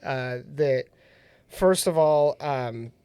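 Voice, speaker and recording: A man's voice: medium pitch, slow pace, mellow, smooth, no room sound. A man hosting a casual radio talk show, sounding nasal after being sick, laughing often as he tells a story. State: nasal from recent illness. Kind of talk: casual chat.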